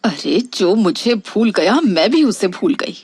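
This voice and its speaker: A woman playing a hurt, plain-spoken elderly mother in a Hindi cartoon story, with a husky, breathy voice. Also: mid-range voice, lively intonation, a little slow, darkish tone, no room sound. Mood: cold.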